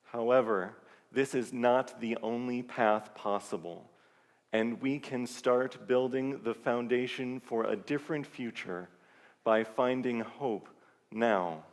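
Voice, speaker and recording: Earnest man speaking in a reverberant church. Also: mid-range voice. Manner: earnest, measured delivery. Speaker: service leader. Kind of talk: sermon-style reflection to a congregation. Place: large church sanctuary. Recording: long reverb of a large church hall.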